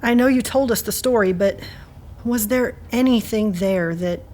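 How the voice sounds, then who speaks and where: A woman's voice: mid-range voice, normal speed, darkish tone, close to an everyday voice. A woman playing a lonely, dejected, sympathetic farm resident in an audio drama, subdued and confiding. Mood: concerned. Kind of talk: scripted audio drama acting.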